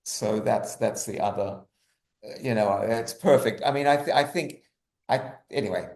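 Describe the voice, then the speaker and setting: A man's voice: fairly low pitch, moderate pace, a little mellow. A man answering casually as an interview guest, repeating phrases for emphasis. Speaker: guest, writer. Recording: echoey room.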